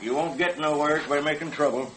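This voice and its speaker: An actor playing a blunt, commanding sheriff with an American Western accent in an old radio western. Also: male voice, on the high side, fairly even intonation, brisk, a bit bright, breathy edge, rough recording. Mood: stern. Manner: folksy, firm.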